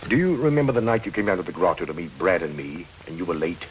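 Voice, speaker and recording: A man playing a persistent, wry investigator who narrates in measured, dry 1940s film style. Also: low voice, a little hiss or hum, close to an everyday voice, poor audio. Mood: calm. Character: dogged middle-aged male investigator, wry and persistent. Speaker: detective narrator.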